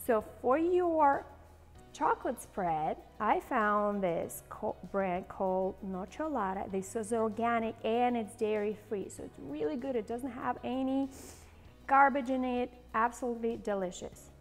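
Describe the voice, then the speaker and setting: A woman's voice: on the high side, measured pace, long reverb tail, soft background music. A woman in her forties hosting a cooking demonstration in a large home kitchen, chatty and bubbly, with a playful put-on Southern accent. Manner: chatty, bubbly, laughs often. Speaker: cooking show host.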